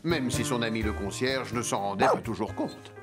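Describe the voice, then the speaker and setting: Lively male children's cartoon narrator. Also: mid-range voice, normal speed, loud music behind the voice.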